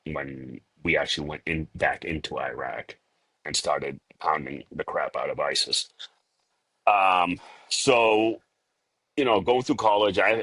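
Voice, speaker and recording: Interview guest: man, forties, from Liberia, Boston accent, very low voice, dry close sound, halting with fillers. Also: a bit bright, breathy edge, no echo. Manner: halting, many fillers and restarts. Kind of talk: casual interview talk.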